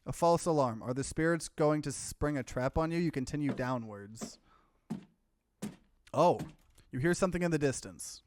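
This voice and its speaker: Higher-voiced man doing a let's play. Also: normal speed, long pauses, darkish tone. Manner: mixes plain narration with offhand asides. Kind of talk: casual let's play, reading game text aloud with commentary.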